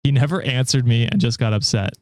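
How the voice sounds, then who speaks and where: A young man hosting a podcast, reading a story aloud and chatting about it in a relaxed, dry way. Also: medium pitch, a little fast, no room sound. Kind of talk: story reading with casual chat.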